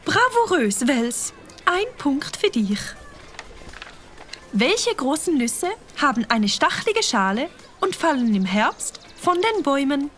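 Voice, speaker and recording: A coaxing fox mother from a cartoon with a Swiss German accent. Her high voice leaps in a sing-song way, with faint music playing underneath. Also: big pitch swings, takes it slowly, fairly bright tone, heavily acted. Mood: cheerful. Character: warm fox mother, motherly quiz host.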